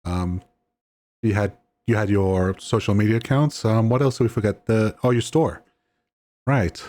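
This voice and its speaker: A man with a fairly low voice hosts a casual podcast chat. He is friendly and hesitant, and he often stumbles and repeats his words. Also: normal speed, darkish tone. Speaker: podcast host.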